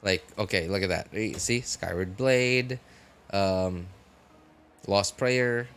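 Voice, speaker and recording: A man hosting a game livestream, rambling casually and excitably, exclaiming and musing aloud. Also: lowish voice, takes it slowly, clear, resonant tone. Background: game music.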